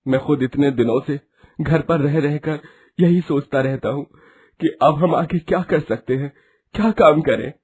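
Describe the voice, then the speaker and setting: A man voicing a worried, aging village husband in an animated story, with an earnest, somewhat husky voice. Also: fairly high pitch, unhurried.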